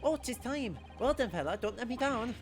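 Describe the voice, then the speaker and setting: A woman's voice: a little high, animated, normal speed, soft background music, pitched above the performer's own voice, natural voice. A woman voicing a blustering rich male rogue in an attempted Irish accent, theatrical and folksy, for a game. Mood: persuasive.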